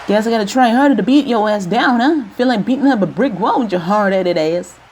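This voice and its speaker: A woman's voice: mid-range voice, brisk, darkish tone, slight background noise, lightly acted. A woman voicing a cocky young street brawler who trash-talks in slangy, swaggering taunts.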